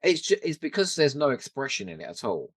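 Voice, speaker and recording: A man's voice: mid-range voice, very animated, brisk, breathy edge, no echo, clean studio sound. A man chatting casually and animatedly as a podcast co-host about a TV show, swearing now and then.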